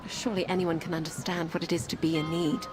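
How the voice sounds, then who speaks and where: A young blind princess on the road, acted by a woman, speaks in a slightly low voice. She is calm, earnest and determined, in the manner of an audio adventure game, with music behind her. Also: fast, lightly acted. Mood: earnest, polite.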